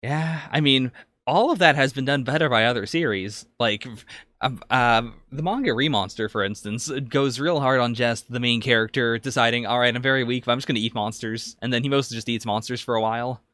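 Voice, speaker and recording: A man's voice: mid-range voice, brisk, pushed and bright, no room sound. A man co-hosting a podcast, talking casually and conversationally in a review discussion. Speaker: podcast co-host.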